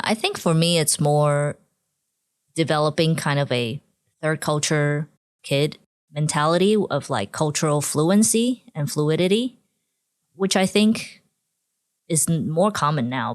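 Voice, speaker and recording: A woman's voice: low-pitched, normal speed, no echo, clean studio sound. A woman from Taiwan, a podcast guest, chatting casually about her student years and often dropping "like" into her sentences. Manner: chatty, frequent "like" fillers, laughs easily.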